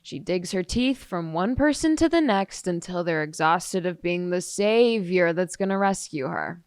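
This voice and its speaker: A woman's voice: lowish voice, expressive, measured pace, clear, resonant tone, no room sound, clean studio sound. A woman acting a blunt, dismissive, jaded teenage girl testifying in an audio drama. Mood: blunt, scornful. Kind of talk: audio drama monologue, police interview testimony.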